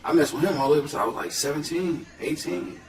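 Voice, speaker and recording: A man with a Detroit accent, a guest rapper telling stories in slangy, animated casual podcast talk. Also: fairly high pitch, fast talker, echoey room, distant mic, over music, rough recording. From Detroit, USA.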